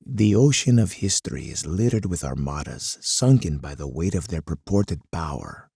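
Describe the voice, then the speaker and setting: An actor playing a hard, commanding older colonel in a strategy game, husky and gravelly, with stern, deliberate oratory. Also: male voice, sits low, lively intonation, dark tone, no room sound, clean studio sound, full character voice. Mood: intense resolve. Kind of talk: dramatic scripted game character monologue.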